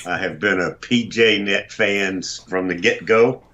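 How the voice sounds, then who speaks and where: An older man with a very deep, low voice, talking about himself as a guest in a self-deprecating, joking way. His sound is muffled and lo-fi, like an online broadcast. He speaks casually, with restarts. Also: measured pace, pushed and bright. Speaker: internet radio host. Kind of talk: casual chat.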